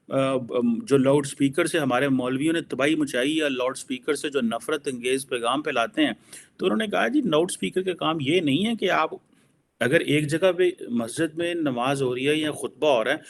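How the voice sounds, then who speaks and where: A man with a Pakistani accent explains his opinions as a political analyst in informal commentary, mixing in English phrases. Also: medium pitch.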